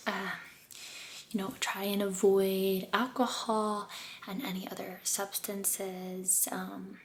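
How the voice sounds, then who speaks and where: A soft-spoken woman doing an ASMR spa role-play as an aesthetician, breathy and often whispering, gentle, soothing and encouraging. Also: mid-range voice, little pitch movement, slow, a little hiss or hum. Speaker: ASMR creator playing a med spa aesthetician.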